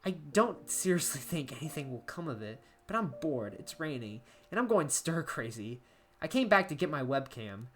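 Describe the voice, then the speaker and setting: Woman acting a low-voiced, anxious horror-story diarist. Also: very animated. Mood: uneasy, restless. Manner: halting, emotive, with stammered repeats. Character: anxious isolated diarist unravelling into paranoia. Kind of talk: dramatic first-person horror story narration. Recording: background music under voice.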